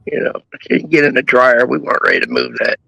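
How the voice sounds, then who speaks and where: Man, hoarse and gravelly, rough husky voice, lively up-and-down pitch, dry close sound. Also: quick pace, no room sound, poor audio. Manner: laid-back, rambling joker. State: losing his voice. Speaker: caller on a live stream chat show. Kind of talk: casual crude banter.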